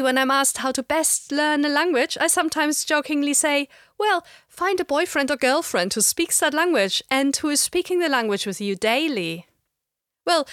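A middle-aged woman with a slight German accent, giving a measured, explanatory lecture. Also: high-pitched, a bit bright, no room sound, studio quality. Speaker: language educator. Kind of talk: scripted lecture narration.

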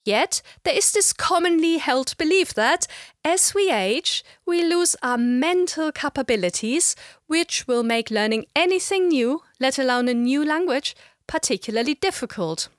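A middle-aged woman with a slight German accent, giving a measured, explanatory lecture. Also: sits high, expressive, no echo, studio quality. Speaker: language educator. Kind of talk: scripted lecture narration.

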